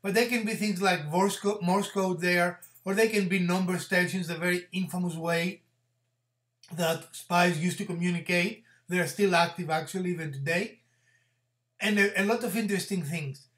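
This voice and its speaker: A man in his fifties with a fairly high-pitched voice presents a hobby tutorial. He explains things step by step in a measured, halting way, often repeating a word before going on. He is recorded at about arm's length in a room at home. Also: steady tone, fairly bright tone. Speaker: presenter of a DIY hobby channel. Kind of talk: explanatory tutorial talk to camera.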